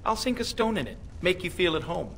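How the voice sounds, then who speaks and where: A man's voice: high-pitched, sing-song, fairly quick, gravelly, a bit bright, a little hiss or hum, exaggerated voice. A man playing a bitter, sarcastic renegade elf mage in a fantasy video game, dry and uneasy in his delivery. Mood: sardonic, bitter. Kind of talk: video game cutscene acting.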